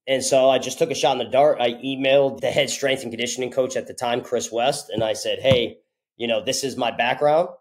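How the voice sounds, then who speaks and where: Brief: thirties coach from Connecticut, casual and animated, webcam sound from a home office. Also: male voice, mid-range voice, a little fast. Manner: energetic, animated, casual with mild swearing. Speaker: strength and conditioning coach, guest. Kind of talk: casual interview storytelling. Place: home office with ceiling light, indoors. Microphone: video call webcam.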